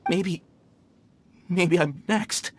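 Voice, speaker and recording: A timid, shaken male labourer from a video game. He speaks haltingly and anxiously, as if pleading. A faint rumble lies behind the voice. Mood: nervous, fearful. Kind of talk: English video game voice acting.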